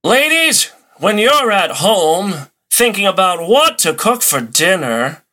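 Man putting on a bright, high-pitched old-time radio pitchman. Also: big pitch swings, takes it slowly, heavily acted. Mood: chirpy, selling. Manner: sing-song, emphatic sales patter. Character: chirpy old-time radio ad announcer addressing housewives. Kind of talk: 1940s radio commercial.

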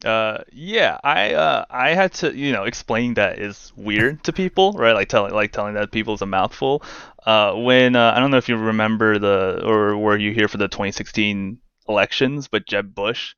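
A man's voice: on the low side, normal speed, a bit bright, clean tone, no echo, highs cut off. A man around thirty, a guest in a casual interview, who talks in a wry, rambling way and fills his speech with "uh" and "like.". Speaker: guest, games news editor.

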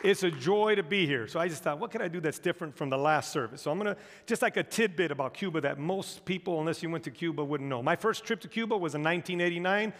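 A man's voice: on the high side, quick pace, few pauses, fairly bright tone, in a large hall, a faint crowd. A man from the United States speaking as a guest missionary in a church, telling humorous anecdotes to the congregation. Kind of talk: storytelling sermon talk. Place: large church auditorium.

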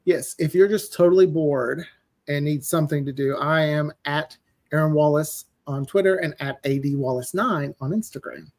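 Chatty male guest, slightly high voice. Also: normal speed, a little mellow. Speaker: podcast guest, audio drama writer. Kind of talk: casual chat.

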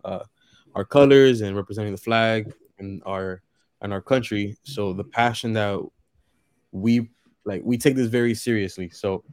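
A man from the Dominican Republic speaking calmly and earnestly on a casual sports podcast. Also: fairly low pitch, clear, resonant tone, dry recording. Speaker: podcast co-host.

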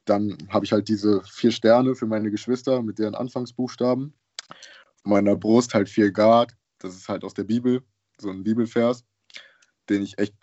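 A young man from Germany, a basketball player, chatting casually as an interview guest in relaxed slang, laughing often. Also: on the low side, no room sound, lo-fi sound. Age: young adult. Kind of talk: casual chat.

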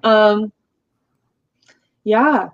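A woman's voice: mid-range voice, extremely slow, dry recording. A young adult woman from the US, whose first language is Russian, talking reflectively in a casual podcast chat with lots of fillers. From United States. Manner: reflective, uses many fillers like "like" and "um". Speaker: guest. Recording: dull, dry, lo-fi sound.

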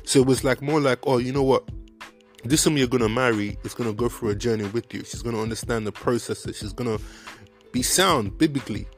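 A man's voice: mid-range voice, brisk, soft background music. Earnest young man, preachy and encouraging. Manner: heavy fillers like "like" and "you know what I mean". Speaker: podcast host. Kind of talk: casual faith talk to viewers.

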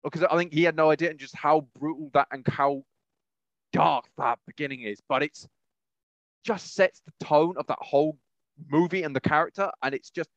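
A man's voice: on the high side, a bit bright, no echo, dull audio. A man chatting casually as a podcast co-host in a rambling, enthusiastic way. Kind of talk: casual chat.

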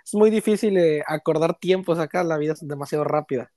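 A man's voice: fairly high pitch, brisk, dark tone, no echo, studio quality. A man in his late twenties from Chiapas with a Mexican accent, chatting informally as an interview guest. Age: late 20s. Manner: easygoing, informal, uses slang. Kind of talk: casual interview chat.

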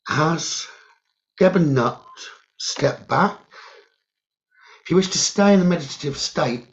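A man leading a guided meditation in short, halting phrases with long pauses and a creaky voice. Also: a little high, animated. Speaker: guided meditation leader, psychic medium. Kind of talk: slow guided meditation narration. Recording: dull, lo-fi sound.

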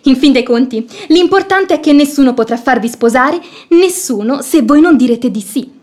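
A woman's voice: high voice, animated, moderate pace, a little mellow. An actress playing a bold, meddling maidservant in a classic radio comedy, bossy and emphatic in her scolding. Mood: scheming, brisk. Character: bold, meddling, sharp-witted maidservant, exasperated peacemaker.

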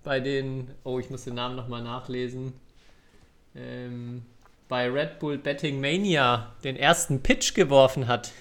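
A man's voice: mid-range voice, fairly even intonation, unhurried, fairly bright tone. A man hosting a podcast, chatting casually about sport. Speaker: podcast host. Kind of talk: casual chat on a badminton podcast.

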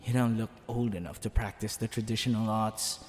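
A man voicing a smug, flirtatious demon king in a theatrical ASMR roleplay, teasing the listener, with creaky tones that drop into breathy whispers. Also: fairly low pitch, flat delivery, natural voice. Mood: teasing curiosity. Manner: theatrical, drawn-out, teasing delivery. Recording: long hall reverb, background hiss and ambience.

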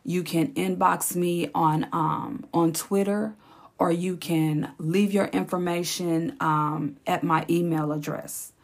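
Slow, raspy-voiced woman podcaster. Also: fairly low pitch, fairly even intonation. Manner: slow, encouraging, repeats phrases for emphasis. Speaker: podcast host. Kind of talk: reflective solo podcast talk.

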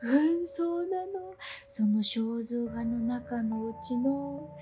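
A woman's voice: a little high, slow, mellow, soft background music. A woman playing a friendly, quirky teenage schoolgirl in a radio drama, telling things with guileless eagerness. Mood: eerie, eager. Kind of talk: conversational radio drama acting.

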